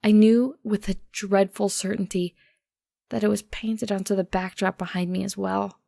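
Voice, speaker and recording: A woman's voice: mid-range voice, level tone, moderate pace, gravelly, warm tone, no room sound, clean studio sound. A woman reading a first-person story aloud as an audiobook narrator.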